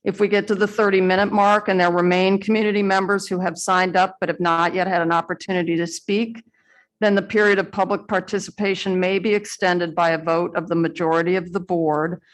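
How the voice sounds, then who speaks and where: Lower-voiced woman chairing a board meeting. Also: flat delivery, rough recording. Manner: measured, procedural, with some fillers. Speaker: school board president. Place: school board meeting room.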